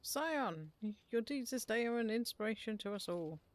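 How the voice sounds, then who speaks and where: A woman's voice: fairly high pitch, animated, normal speed, voice pushed up, lightly acted. A woman reading out a hearty, bombastic fantasy army commander in a rousing, declamatory game-dialogue delivery. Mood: rousing, commanding. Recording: music behind the voice.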